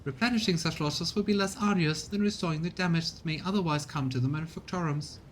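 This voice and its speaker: A man voicing a cold, calculating machine strategist with clinical, formal delivery, as in a game, over faint rumbling noise. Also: fast, close to an everyday voice. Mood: detached. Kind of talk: video game character reading.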